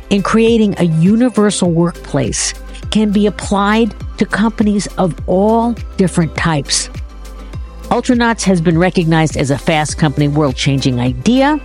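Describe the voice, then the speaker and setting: A woman's voice: lowish voice, darkish tone. A woman hosting a podcast, presenting and interviewing. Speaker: podcast host. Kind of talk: scripted podcast introduction, then warm-up interview talk.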